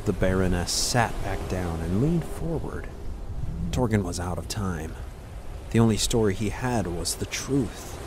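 Lowish-voiced man narrating fantasy. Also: lively intonation, measured pace, noisy background. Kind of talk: scripted fantasy story narration.

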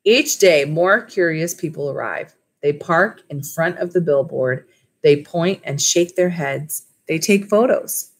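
A woman in her thirties reading a children's book aloud as a school librarian, with casual asides to the listeners. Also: sits low. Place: small wood-panelled room at home. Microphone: webcam or laptop at desk distance.

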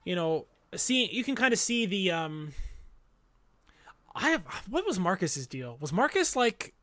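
A man's voice: high-pitched, sing-song, measured pace, bright, forceful tone, no room sound. A middle-aged man co-hosting a podcast, chatting casually and joking while he recaps, on a studio mic from home. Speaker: podcast co-host. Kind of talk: casual chat. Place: home room, indoors. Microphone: studio mic on a video call.

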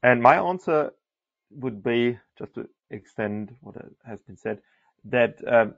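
A man's voice: mid-range voice, animated, slow pace, vocal fry, no echo. A man moderating a technical panel and speaking off the cuff. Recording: dull, lo-fi online call audio.

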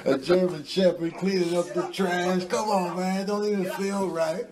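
A man's voice: sits high, steady tone, moderate pace, a crowd in the background, natural voice. A man voicing put-upon, indignant animals in slangy, exclamatory griping for a comedy voice-over. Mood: pleading, aggrieved.

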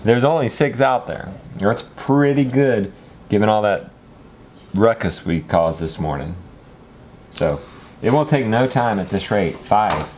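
A man's voice: lowish voice, animated, measured pace, darkish tone, a little hiss or hum. A man in his thirties talking casually and encouragingly, a folksy father vlogging through farm chores. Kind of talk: casual vlog talk while doing farm chores.

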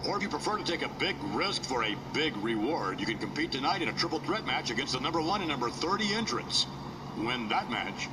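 An actor playing an authoritative middle-aged wrestling boss in a video game, formal and measured, with an American accent. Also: male voice, mid-range voice, sing-song, natural voice. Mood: businesslike. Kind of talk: scripted video game cutscene acting. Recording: heavily noisy, distant game capture.

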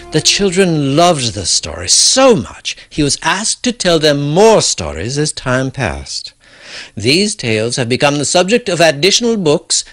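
A man with a husky voice giving scripted storytelling narration to introduce a classic tale, theatrical with deliberate pauses. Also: medium pitch, fairly bright tone. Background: faint music.

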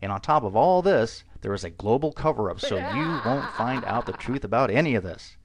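Sarcastic man narrating, lively pitch. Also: a little fast, background noise. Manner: mocking, deadpan sarcasm. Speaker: video narrator. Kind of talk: sarcastic scripted voice-over.

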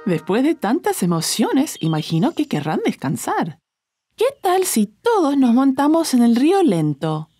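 An actress playing a caring, attentive young mother who gently coaxes in a children's cartoon dub. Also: high voice, huge pitch swings, unhurried, soft background music, full character voice. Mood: relaxed, playful. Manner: gentle, coaxing.

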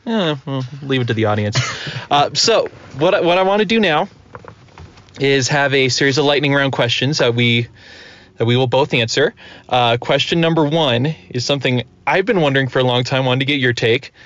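A man's voice: medium pitch, normal speed, fairly bright tone, no room sound. A man chatting casually as a podcast host. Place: inside a car. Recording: dull lo-fi, dry.

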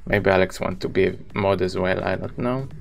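A man's voice: sits low, fairly even intonation, fairly quick, gravelly, faint music behind. A man in his thirties with an Israeli accent, a native Hebrew speaker, chatting casually with viewers as he live-streams a video game.

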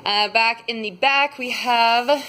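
Bright-voiced young woman, chatty vlog. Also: fairly high pitch, fairly even intonation, slow pace. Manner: chatty, rambling, lots of fillers. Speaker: vlogger. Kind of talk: casual grocery haul vlog. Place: parked car, then a home kitchen. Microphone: phone camera at arm's length.

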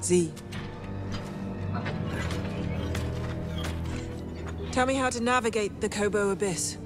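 An actress playing a solemn, commanding female master of a mystic order, measured and formal, in a video game cutscene. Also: medium pitch, music in the background, natural voice. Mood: solemn, regretful.

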